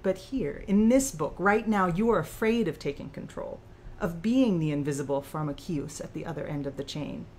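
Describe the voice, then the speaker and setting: A woman playing a sharp, articulate female author who challenges and analyzes in audiobook dialogue. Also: lowish voice, expressive, normal speed, natural voice. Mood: pressing, insistent. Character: sharp, probing female author challenging her own creator.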